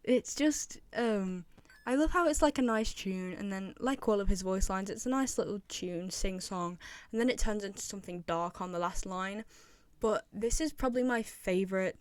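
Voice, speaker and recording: A woman's voice: mid-range voice, normal speed, clean tone, no room sound. A woman presenting casual fan commentary in an enthusiastic way, repeating phrases for emphasis. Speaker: video presenter.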